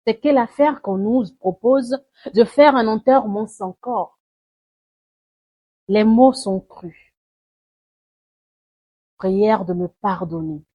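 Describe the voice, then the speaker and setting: A woman recites a poem dramatically, in a solemn, mournful and deliberate way, swelling and hushing her phrases. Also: medium pitch, husky. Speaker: poetry reciter. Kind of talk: dramatic spoken-word poetry recitation.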